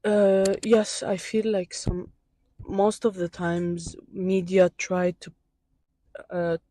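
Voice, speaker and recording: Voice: medium pitch, flat delivery, takes it slowly, warm tone. A student from Syria answering interview questions on a campus outdoors, speaking hesitantly and searching for words.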